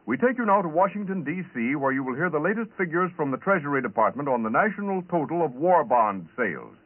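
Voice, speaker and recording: An American male announcer gives a 1940s radio sales pitch. His intonation swoops freely and sits a little high, through thin, narrow old broadcast sound. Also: big pitch swings, quick pace. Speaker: commercial announcer.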